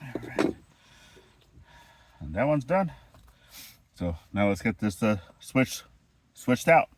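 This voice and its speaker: A man's voice: medium pitch, very animated, slow, husky, no room sound. A man explaining a DIY repair step by step while he works outdoors, congested and sniffling from a chest cold. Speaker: homestead vlogger. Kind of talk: hands-on DIY how-to. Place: outdoors at a well house, kneeling on rocks.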